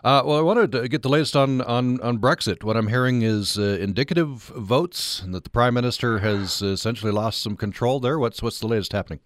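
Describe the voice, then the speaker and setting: A man's voice: medium pitch, brisk, few pauses, no echo, studio quality. A man hosting a radio interview, asking questions with hesitant stammers and restarts. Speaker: radio host.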